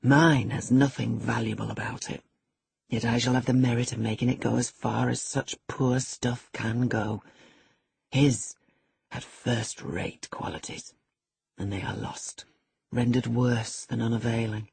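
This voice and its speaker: A man's voice: fairly even intonation, unhurried, vocal fry, darkish tone, husky, dry recording, exaggerated voice. A man voicing a brooding, gloating master. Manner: low, bitter, gloating. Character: brooding scornful middle-aged male master.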